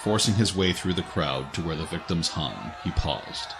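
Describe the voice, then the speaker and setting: A man's voice: sits low, animated, normal speed, creaky, crowd noise, noisy background. A man reading scripted, dramatic story narration as the narrator of an audio drama. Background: faint music.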